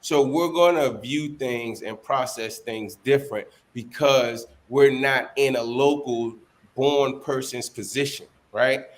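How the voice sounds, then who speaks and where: A man's voice: medium pitch, slow. A man who is a guest in a casual interview, answering in an easygoing, hedging way with many fillers. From Dominican, born in the United States.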